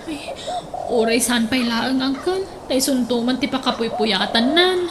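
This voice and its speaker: A woman's voice: on the high side, expressive, measured pace, heavy background noise. A woman with an Ilocano accent playing an exasperated young wife in a radio drama, with a complaining, pleading tone.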